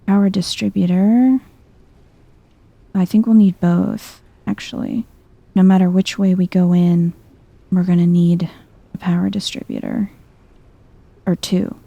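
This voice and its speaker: A woman speaks softly and slowly in a mellow, relaxed voice as she comments on a video game. Her delivery is chill and low-key, close to ASMR, murmured as if to herself. There is noticeable vocal fry in her voice. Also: no room sound, muffled recording. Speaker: gaming video host. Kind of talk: soft-spoken ASMR gameplay commentary.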